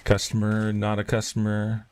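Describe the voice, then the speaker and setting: Very deep-voiced man streaming a game. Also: completely flat delivery, normal speed, a little mellow, a little hiss or hum. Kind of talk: casual gameplay commentary, reading quest text aloud.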